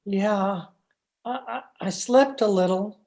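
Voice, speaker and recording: A woman's voice: mid-range voice, slow pace, dark tone. A woman acting a meek, henpecked, frightened husband in an old-time radio thriller, timid and stammering. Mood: weary, uneasy.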